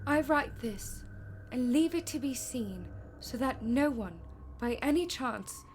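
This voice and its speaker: High, slow-spoken frail young lady. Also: lively intonation, slight background noise. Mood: uneasy, dreamy dread. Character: frail, frightened young woman under a vampire's spell. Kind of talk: radio horror drama acting. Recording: loud music behind the voice.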